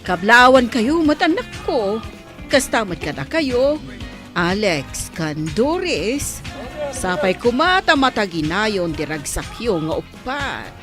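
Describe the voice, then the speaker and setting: Woman playing doting mother, slow, highish voice, Ilocano accent, gracious, radio drama, faint music behind. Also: sing-song. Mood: gracious, proud.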